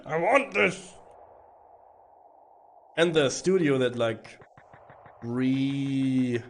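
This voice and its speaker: A man with a hoarse, rough voice from bronchitis, chatting casually and rambling to stream viewers while playing a game. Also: medium pitch, expressive, very slow, pauses often. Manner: rambling, chatty, swearing, with frequent restarts. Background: faint game music.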